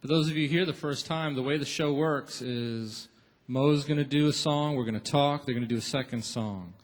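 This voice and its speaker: A man hosting a radio show taping, giving informal, encouraging warm-up announcements from a theater stage. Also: medium pitch, normal speed. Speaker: radio show host and emcee. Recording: echoey theater hall with long reverb.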